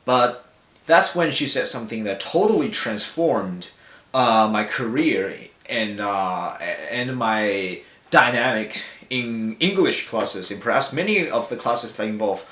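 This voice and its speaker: A young man speaks in a mid-pitched voice, thinking aloud with plenty of ums and restarts. His words ring out in a roomy, echoing hall. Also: expressive, bright, forceful tone. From China. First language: Mandarin. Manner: reflective, halting with fillers and self-corrections. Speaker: speaker giving a talk. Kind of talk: personal public talk. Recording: echoey hall.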